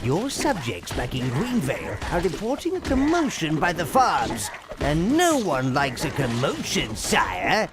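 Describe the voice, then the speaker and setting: A scheming old servant of a dark lord in a fantasy game. He is servile yet sly, swinging theatrically all over his pitch range. Music plays behind the voice. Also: huge pitch swings, a little slow. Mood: scheming, deferential. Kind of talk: scripted video game character acting.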